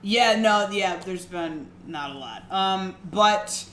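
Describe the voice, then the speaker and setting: A woman's voice: mid-range voice, takes it slowly, a bit bright, slight background noise. Chatty young woman in a roomy room. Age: 20s. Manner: chatty, rambling with many fillers. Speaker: podcast co-host. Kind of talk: casual chat. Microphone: one shared microphone. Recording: roomy room, shared mic.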